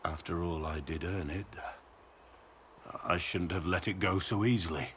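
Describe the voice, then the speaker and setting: A man playing a brooding, honourable middle-aged warrior in a video game, with measured, earnest delivery. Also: low voice, steady tone, fairly bright tone, a little hiss or hum. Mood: sombre. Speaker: video game character voice actor. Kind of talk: dramatic game dialogue.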